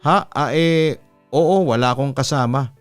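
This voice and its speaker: An eager Filipino bar customer, played by a male narrator in a radio-style story. His voice is smooth and closely recorded, with soft music underneath. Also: mid-range voice, measured pace, no room sound, natural voice. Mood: startled, flustered. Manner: flustered then boastful.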